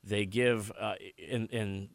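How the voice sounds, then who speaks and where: A man's voice: lowish voice, steady tone, slow pace, creaky, no room sound. An American man hosting a live talk radio show, discussing issues and interviewing guests. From United States.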